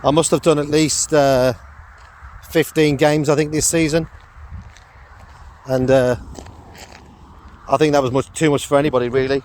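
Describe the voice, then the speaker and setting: A middle-aged man with a croaky throat rambles through a casual vlog while out walking. He talks in a loose, chatty way, full of fillers and little laughs. Faint outdoor ambience sits behind his voice. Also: a little high, steady tone, normal speed, long pauses, darkish tone, a little hiss or hum. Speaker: vlogger. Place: outdoors on a walk with a dog.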